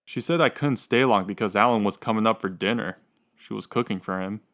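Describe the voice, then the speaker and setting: A man's voice: on the low side, fairly quick, fairly bright tone. A man playing a dejected, lovesick suspect under questioning in a radio drama, plainspoken and unsure. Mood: earnest, aggrieved.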